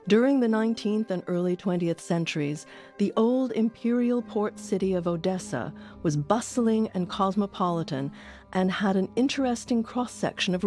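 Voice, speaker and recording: A woman's voice: medium pitch, a little mellow. A woman reading scripted documentary narration as the host of an audio series. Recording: music under the voice.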